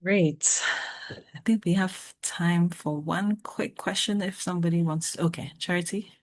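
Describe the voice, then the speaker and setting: A woman moderating an online meetup, brisk and cordial as she hands over to speakers. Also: on the low side, fairly even intonation, darkish tone, no echo. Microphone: video call.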